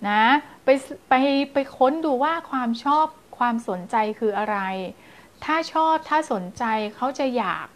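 A high-voiced woman in her thirties speaks to parents as a child development teacher, animated and expressive, breaking into playful story voices to demonstrate. She is close on a lapel mic in a small indoor studio. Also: measured pace. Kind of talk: parenting advice video. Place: small indoor studio in front of blue backdrop.

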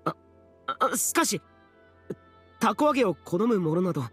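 Reserved immortal warrior, swinging pitch. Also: male voice, big pitch swings, a little slow, a bit bright, breathy edge, faint music behind, heavily acted. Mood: deferential, hesitant. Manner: terse, archaic, formal and hesitant. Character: stern, reserved, youthful-looking immortal warrior, deferential and awkward. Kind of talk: anime game voice acting.

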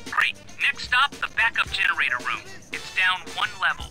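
Nerdy video game hacker, excitable, fussy, animated pitch, sharp bright tone. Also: male voice, normal speed, soft background music, exaggerated voice, rough recording. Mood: brisk, focused. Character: excitable nerdy male hacker guiding a heist crew. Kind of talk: video game voice acting, mission instructions.

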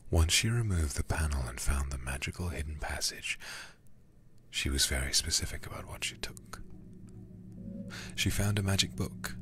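A man reading a horror game aloud in ASMR style, hushed, breathy and deliberate, close to the microphone. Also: a bass voice, lively intonation, background noise. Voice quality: breathy, whispery. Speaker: livestreamer playing a horror game.

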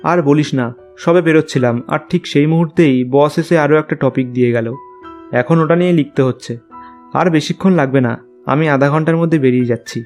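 A man's voice: on the high side, brisk, a little mellow, soft background music, lightly acted. A man playing a young journalist, narrating his own ghost story in a scripted cartoon style. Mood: apologetic. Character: young male journalist recounting an eerie night. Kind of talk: scripted first-person horror story narration.

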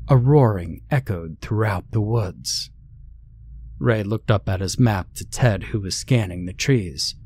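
A man's voice: on the low side, darkish tone. A man narrating a scary story in a scripted, dramatic storytelling style.

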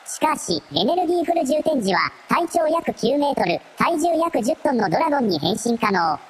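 A woman's voice: sits high, very animated, normal speed. A woman playing a coolly analytical assistant creature in a radio drama, reporting in clipped, formal phrases. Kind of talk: Japanese radio drama acting.

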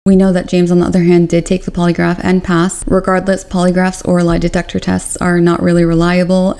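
A young woman hosting a missing-person channel, speaking to camera in an earnest, measured way from a small room at home. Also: fairly low pitch, vocal fry, mellow. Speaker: YouTube true-crime host. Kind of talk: scripted talk to camera.

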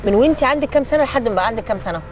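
A young woman with an Egyptian accent interviewing conversationally outdoors, prompting with quick follow-up questions. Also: on the high side, animated, faint music behind, low-quality recording. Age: young adult. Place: city street, outdoors. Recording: clear outdoor street noise.

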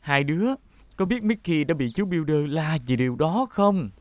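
A woman voicing a calm, kind heroic rescue car in a Vietnamese cartoon dub, speaking with patient, earnest reassurance. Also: low-pitched, moderate pace. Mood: patient, firm.

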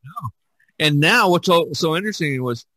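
A man hosting a podcast in casual chat, speaking haltingly and repeating his phrases. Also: fairly high pitch, expressive, sharp tone, dry recording. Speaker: podcast host.

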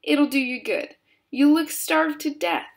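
Eccentric chocolate-factory boss played by a woman: gleeful, theatrical storybook man, high-pitched, slightly creaky. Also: unhurried. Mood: brisk, kindly. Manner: exclamatory, theatrical. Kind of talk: children's storybook character voice.

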